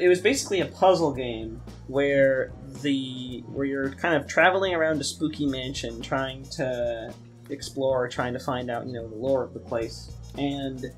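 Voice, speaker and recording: A young man co-hosts a casual podcast at an ordinary middle pitch. He talks in a halting, conversational way, doubling back to rephrase, with music playing underneath. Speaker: podcast co-host, game designer. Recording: music behind the voice. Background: background music.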